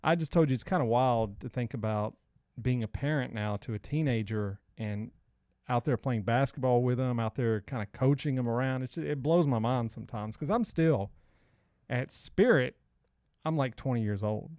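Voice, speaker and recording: A man's voice: medium pitch, moderate pace, a little mellow, no echo, studio quality. A man in his forties co-hosting a podcast with casual, slangy banter and rambling storytelling. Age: 40s. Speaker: podcast co-host.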